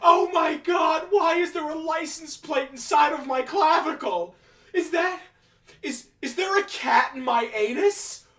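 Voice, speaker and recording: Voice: high voice, unhurried, a bit bright, shouting. An actor voicing an agonized male living snowman in a comic radio play, wailing and pleading melodramatically. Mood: anguished. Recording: roomy, echoing, distant from the mic.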